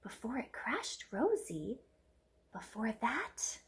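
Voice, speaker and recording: A woman voicing a spirited, encouraging elderly great-great-aunt from a storybook, exuberant and emphatic with drawn-out key words. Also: fairly high pitch, very animated, heavily acted.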